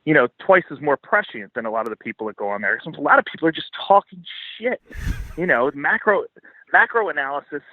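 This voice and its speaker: A man's voice: fairly high pitch, lively intonation, fast, no echo, narrow, tinny audio. A man speaking as a podcast guest, giving casual, opinionated financial commentary in blunt, informal language with swearing.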